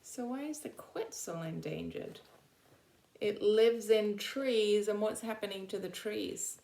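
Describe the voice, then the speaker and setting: Female teacher, explanatory read-aloud for children with questioning asides, medium pitch, roomy echoing recording. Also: slow pace, gravelly, dark tone. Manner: explanatory, checks in with rhetorical questions. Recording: roomy, echoing indoor sound.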